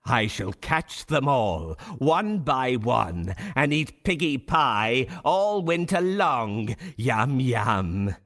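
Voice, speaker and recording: Slow, growling big bad wolf. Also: male voice, medium pitch, big pitch swings, a bit bright, heavily acted. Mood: hungry, menacing. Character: greedy, menacing big bad wolf hungry for piggy pie. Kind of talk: children's storybook game character acting.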